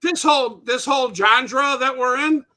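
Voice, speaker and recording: A man with a Chicago accent who chats quickly and jokingly in a casual conversation. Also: very high-pitched, a loud crowd. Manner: quick, chatty and jokey.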